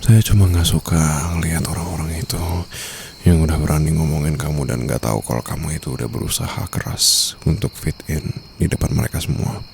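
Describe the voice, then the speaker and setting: A man with an Indonesian accent acting a smitten, protective mafia boss in an intimate ASMR boyfriend roleplay, murmuring softly and teasingly. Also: very deep voice, gravelly. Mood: gentle, protective. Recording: faint night-time insect ambience noise.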